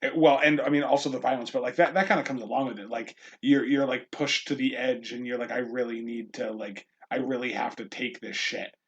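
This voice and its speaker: A man's voice: mid-range voice, steady tone, a little fast, hardly any pauses, lo-fi sound. A young man co-hosting a podcast in casual chat, rambling and filling his talk with "like". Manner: rambling, heavy use of "like", many restarts. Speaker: podcast co-host.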